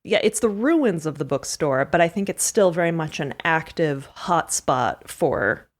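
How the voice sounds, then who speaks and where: A woman's voice: low voice, expressive, a little slow. A woman playing a tabletop game, improvising casually with playful, dry humor.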